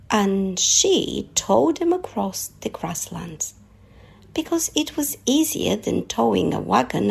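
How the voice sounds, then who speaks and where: A woman narrating a children's story aloud in an expressive read-aloud style. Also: a little high, vocal fry, breathy edge.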